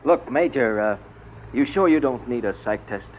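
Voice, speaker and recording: An actor playing a skeptical, concerned military officer in an old radio drama, hesitant and placating. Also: male voice, mid-range voice, lively intonation, moderate pace, lightly acted. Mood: wary, concerned. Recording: muffled, hissy vintage radio recording.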